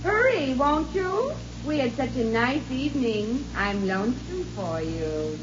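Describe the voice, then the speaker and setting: Affectionate wife, coaxing, high and lilting, 1950s radio drama, muffled sound. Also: female voice, sing-song, a little slow. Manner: soft and lovingly coaxing. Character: sleepy, affectionate wife calling from upstairs. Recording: dull old radio broadcast with clear hiss, distant.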